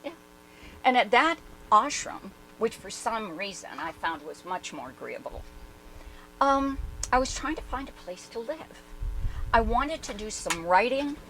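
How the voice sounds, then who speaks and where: A woman playing a skeptical, hard-boiled former war correspondent, speaking in a candid, halting first-person monologue for a dramatic reading. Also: on the high side, big pitch swings, fairly bright tone, a little hiss or hum, heavily acted, poor audio. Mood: matter-of-fact.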